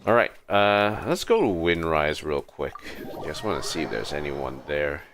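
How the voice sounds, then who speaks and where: A man's voice: very deep voice, expressive, moderate pace, fairly bright tone, a little hiss or hum. A man giving casual gaming livestream commentary, full of interjections and playful asides. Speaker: game livestreamer. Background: game music.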